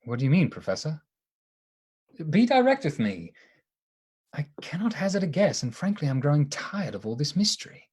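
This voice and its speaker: An actor playing an impatient, plain-spoken doctor in a radio play, bluntly demanding answers. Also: male voice, a little high, very animated, normal speed, long pauses, darkish tone, breathy edge.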